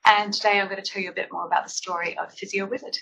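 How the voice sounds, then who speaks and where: An Australian woman in her fifties, a clinician and founder, presenting her company's story in a measured way. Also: mid-range voice, little pitch movement, creaky, sharp tone. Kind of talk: business presentation. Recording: dull lo-fi event audio.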